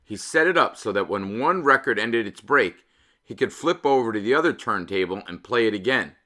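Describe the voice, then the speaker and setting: Bright-voiced man in his fifties, expressive. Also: lowish voice, fairly quick. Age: 50s. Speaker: read-aloud host. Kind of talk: expressive children's picture-book read-aloud.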